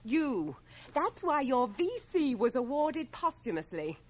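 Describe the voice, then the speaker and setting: An actress playing a tender, teasing young English volunteer nurse in a radio drama, poised and brisk. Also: female voice, high voice, sing-song. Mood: playful, proud. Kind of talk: 1980 radio drama acting.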